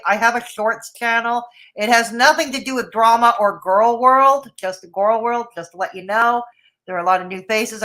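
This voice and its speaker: Sarcastic woman with a bright voice. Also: on the high side, poor audio. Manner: sarcastic, mocking. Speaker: drama commentary streamer. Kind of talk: live stream commentary.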